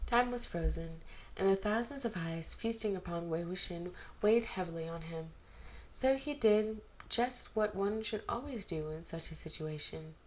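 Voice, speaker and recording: A woman narrating fan fiction aloud in an expressive, amateur storytelling style. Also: fairly low pitch, normal speed, low-quality recording. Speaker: amateur podfic reader. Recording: amateur home recording, background noise.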